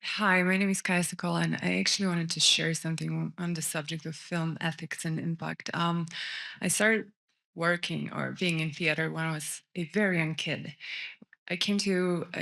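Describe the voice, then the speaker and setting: A woman from Poland stands up in the audience to put a question to a panel. Her voice sits low with a gravelly edge, and she speaks in an earnest, measured way. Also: fairly bright tone. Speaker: audience member asking a question. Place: festival panel lounge.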